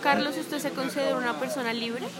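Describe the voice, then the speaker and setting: A woman's voice: high voice, fairly even intonation, fairly quick. A woman, interviewing someone outdoors and rephrasing her questions to probe for answers. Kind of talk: street interview. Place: city park outdoors. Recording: outdoor crowd noise. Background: street crowd.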